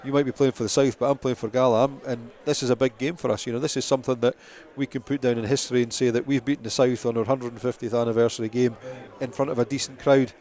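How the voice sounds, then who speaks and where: A man's voice: medium pitch, flat delivery, fast talker, darkish tone. A man giving live post-match analysis as a rugby pundit.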